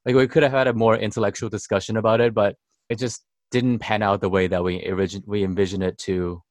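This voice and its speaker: A man's voice: lowish voice, level tone, quick pace, darkish tone, no echo, clean studio sound. A young man co-hosting a podcast in casual chat, playful and laughing often, whose first language is Chinese. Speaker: podcast co-host.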